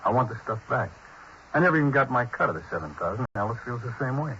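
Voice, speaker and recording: A man's voice: mid-range voice, big pitch swings, fast, pushed and bright. An American man playing a pushy, impatient small-time robber in a 1950s radio crime drama, blunt and demanding. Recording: muffled, faintly hissy 1950s radio broadcast.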